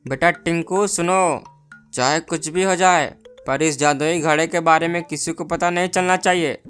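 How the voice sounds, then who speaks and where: A woman's voice: low-pitched, steady tone, moderate pace, clear, resonant tone, faint music behind, lightly acted. A woman voicing an honest, earnest village milkman and father in a moral-story cartoon, given to exclaiming.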